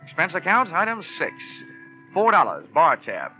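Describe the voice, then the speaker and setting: An actor playing a wisecracking, tough, cocky insurance investigator with an American accent and wry, clipped delivery in an old radio detective drama. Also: male voice, a little high, huge pitch swings, moderate pace, over music. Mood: wry narrating. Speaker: lead actor and narrator. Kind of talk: 1950s radio detective drama, hard-boiled narration. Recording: dull, band-limited old radio recording.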